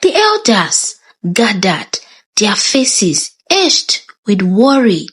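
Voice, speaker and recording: Expressive Nigerian woman storyteller, lively pitch. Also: extremely slow, slightly rough, no room sound. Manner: dramatic, expressive. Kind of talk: scripted folktale narration.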